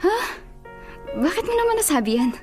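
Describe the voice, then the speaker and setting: An actress playing a calm, reasoning young female friend in a Filipino radio drama, with a Filipino accent. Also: extremely high pitch, fairly quick, soft background music.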